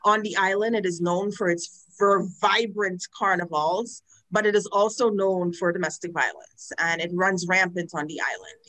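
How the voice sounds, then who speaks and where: A woman in her forties from Trinidad, a guest telling her personal story frankly and earnestly, with lively quoted asides. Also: fairly low pitch, normal speed, poor audio. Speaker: guest, domestic violence advocate. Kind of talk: personal testimony in a podcast interview.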